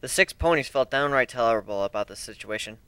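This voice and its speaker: Dramatic reader for fan fiction narration; crisp brightness and very mobile intonation. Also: medium pitch, expressive, fast, no room sound.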